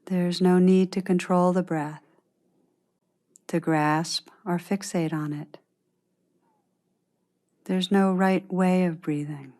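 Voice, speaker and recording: Lady doing guided meditation, really slow n gentle, kinda low voice, soothing w long pauses. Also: fairly even intonation. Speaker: meditation teacher guiding a practice. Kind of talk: guided meditation, scripted instruction.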